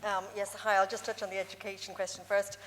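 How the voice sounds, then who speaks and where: A woman's voice: mid-range voice, level tone, fast talker, a bit bright. A woman from Ireland giving a hustings speech as an election candidate, listing her qualifications. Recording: echoey hall, faint background noise.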